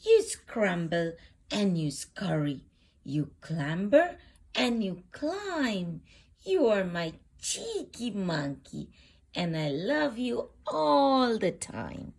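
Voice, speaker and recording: A woman reading a children's storybook aloud as host, with expressive, deliberate phrasing meant for young children. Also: mid-range voice, gravelly, breathy edge.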